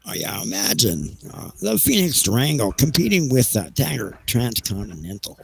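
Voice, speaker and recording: A man's voice: mid-range voice, sing-song, moderate pace, creaky, husky, exaggerated voice. A man playing an evasive, petulant railroad executive in an audio play, stammering and blustering defensively. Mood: evasive, irritated.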